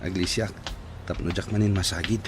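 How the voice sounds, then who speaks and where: A man's voice: sits low, fairly even intonation, a little fast, music in the background, lightly acted. A man as a cheeky, argumentative Ilocano fellow. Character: cheeky, argumentative young man bantering in the city. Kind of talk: Ilocano radio drama acting.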